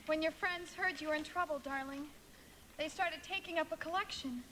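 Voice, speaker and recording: A woman acting a loving, excited young wife and mother in a live radio play from a 1940s script. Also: high voice, moderate pace, slight background noise, poor audio. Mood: loving, eager.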